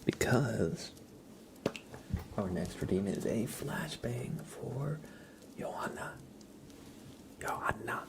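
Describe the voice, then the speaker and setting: A man hosting an ASMR livestream, speaking in a breathy whisper and murmur, informally chatting and gently guiding his listeners. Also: mid-range voice, expressive, slow, long pauses.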